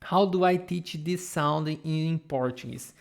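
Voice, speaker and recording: Brazilian woman, Portuguese teacher on a live stream, slow and patient, drills sounds over and over, camera mic. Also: deep voice, creaky, darkish tone. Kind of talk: live online language lesson.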